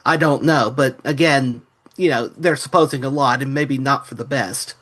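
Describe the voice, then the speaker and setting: A man's voice: medium pitch, steady tone, normal speed, fairly bright tone. A man talking through his opinions in an analytical podcast review discussion. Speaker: podcast panelist.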